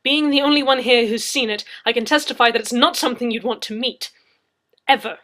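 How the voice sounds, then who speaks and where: An indignant, impetuous young man in an audiobook story, as a woman plays him. The voice is high and jumps up louder, with emphatic protests and a cheeky, self-important air. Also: a little fast, close to an everyday voice. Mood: indignant, protesting. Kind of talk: dramatic audiobook character reading.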